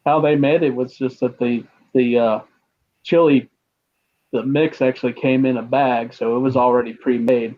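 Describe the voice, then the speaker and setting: A man's voice: medium pitch, fairly even intonation. Hesitant male host, tinny phone-like sound. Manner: halting, lots of uh fillers. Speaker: host of a chat stream. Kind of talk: casual chat.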